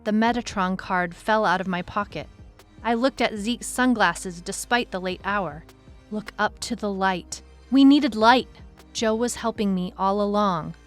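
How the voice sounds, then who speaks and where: A woman's voice: medium pitch, measured pace, faint music behind, lightly acted. Woman as female detective narrating her case. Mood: urgent. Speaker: lead narrator. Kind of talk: scripted first-person narration, audio drama.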